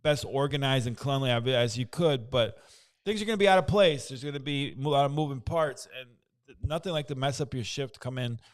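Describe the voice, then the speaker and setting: Man, co-host, easygoing chatter, middle pitch, quick asides. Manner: laughs often, quick asides. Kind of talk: casual chat.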